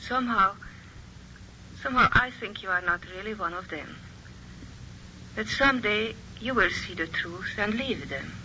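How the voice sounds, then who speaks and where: An actress with a Slavic accent playing a warm, earnest miner's wife in a 1950s radio drama, on a lo-fi old radio recording. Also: female voice, mid-range voice, expressive, a little hiss or hum. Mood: tender. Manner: soft, earnest, slow.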